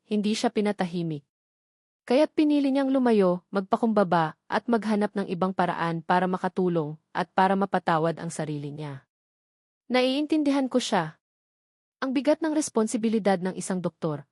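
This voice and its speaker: A woman telling a first-person love story in a measured, earnest way, letting each line land with feeling. Her voice sits at an everyday pitch and sounds close and dry, with no echo around it. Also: darkish tone. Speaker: narrator.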